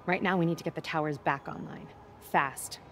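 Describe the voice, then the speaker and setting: A woman voicing a low, curt police captain. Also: fairly even intonation, a little fast, slight background noise, natural voice. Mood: dry. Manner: dry, curt. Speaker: police officer.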